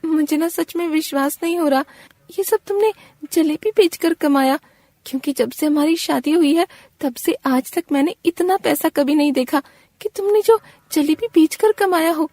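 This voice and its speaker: Woman acting a high, shrill, excitable village wife. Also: moderate pace, dry recording. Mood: disbelieving. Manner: shrill, questioning, expressive. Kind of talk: Hindi animated moral story voice acting.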